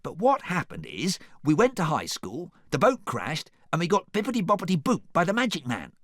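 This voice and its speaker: A man voicing an excitable, chatty donkey for a storybook, with an emphatic, slangy way of talking. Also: a little high, moderate pace, dry recording, studio quality, voice pushed up, full character voice. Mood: excited explaining. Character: excitable, chatty male donkey trapped in cat's body.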